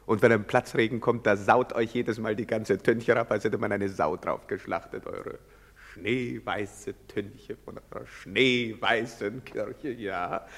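An actor playing a lecherous, mocking young soldier in a German stage drama, jeering and declaiming with a swagger. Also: male voice, on the low side, steady tone, measured pace, a bit bright. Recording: echoey theatre-style hall reverb.

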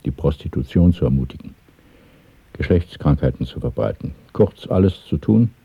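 An actor playing a grave, authoritative conspirator in a radio drama, speaking formally and deliberately as he questions a recruit. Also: rumbling low, lively intonation, creaky, slightly rough, no echo, lo-fi sound, heavily acted. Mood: stern questioning. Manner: formal, deliberate, coolly interrogating. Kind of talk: 1970s German radio drama acting. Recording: thin, band-limited old radio play recording.

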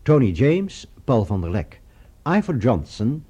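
Mellow, lively male radio announcer. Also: mid-range voice, measured pace, breathy edge. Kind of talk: scripted credits announcement.

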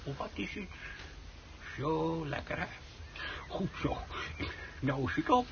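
A man's voice: on the high side, big pitch swings, takes it slowly, gravelly, full character voice. An actor playing a cheerful, nearsighted old grandfather in a comic radio play, muttering and repeating himself obliviously. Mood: coaxing, doting. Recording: dull lo-fi radio recording with noise.

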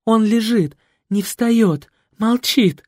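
A man doing a shrill, terrified young woman. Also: normal speed, warm tone, husky, no room sound, clean studio sound. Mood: sobbing. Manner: shrill screaming and plaintive pleading. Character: frightened, childlike young woman in shock. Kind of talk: audiobook character voice.

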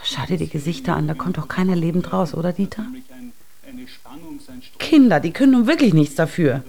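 A woman's voice: fairly low pitch, animated, a little fast, vocal fry, crowd noise. An actress in a German radio drama playing a gossipy, appalled woman with an insistent, exclamatory manner. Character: shocked, gossipy woman fixated on the television news.

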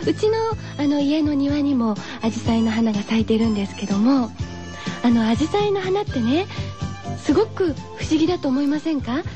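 A woman hosting a radio show, chatting gently and girlishly as she muses to her listeners. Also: high voice, lively intonation, moderate pace, over music. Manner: gentle, girlish musing with rhetorical questions to listeners. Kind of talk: chatty solo radio talk, reading listener postcards.

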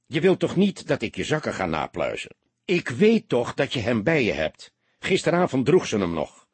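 A man voicing a patient, fatherly older police detective with a measured, gently insistent way of questioning. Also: mid-range voice, wildly swooping pitch, husky, dry recording.

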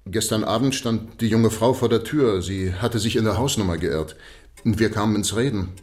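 A man's voice: fairly low pitch, moderate pace, darkish tone, lightly acted. An actor playing a deferential, sheepish police constable in a radio drama, respectful and plain-spoken as he explains himself. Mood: sheepish, explaining.

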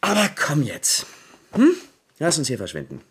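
An actor playing a teasing, boyish young newspaper reporter in a radio drama, playful, wry and casual. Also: male voice, fairly high pitch, wildly swooping pitch, normal speed, slightly rough, exaggerated voice. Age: young adult. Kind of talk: naturalistic radio drama acting.

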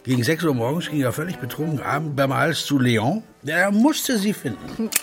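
A man's voice: on the high side, lively intonation, normal speed. A man narrating a literary story in a radio play.

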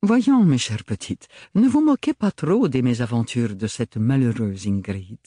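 A man's voice: mid-range voice, animated, a little slow, mellow, no echo, clean studio sound. A man voicing an elegant, haughty widow with a silky, condescending manner. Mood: indulgent.

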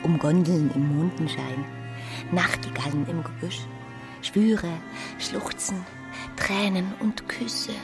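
A romance-hungry, pious young girl in a literary radio drama. Her voice is a little low, intimate and lyrical, often sinking toward a whisper. Music plays clearly behind her. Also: slow pace. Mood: rapt longing. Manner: hushed, breathy delivery that slips into whispers.

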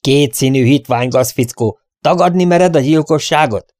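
A man's voice: medium pitch, lively intonation, gravelly, warm tone, no echo, clean studio sound, pitched above the performer's own voice. A man voicing a proud, defiant young cowboy deputy in a western story, with a challenging, declamatory delivery. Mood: outraged.

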